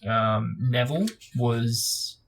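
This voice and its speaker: A man with a middling pitch and a gravelly, husky voice hosts a book discussion. He moves between reading aloud and casual chat, with informal, loosely strung phrasing. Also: slow pace, a bit bright, soft background music. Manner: hesitant, informal phrasing with frequent "like" and "sort of". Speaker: book discussion host and reader.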